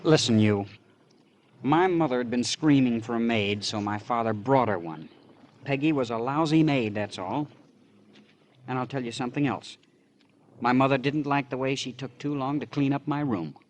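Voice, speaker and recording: An actor playing a cocky, impatient young son, snappish and belligerent, in a 1950s radio drama. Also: male voice, mid-range voice, normal speed, slight background noise, natural voice. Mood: defiant. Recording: old radio drama, faint music.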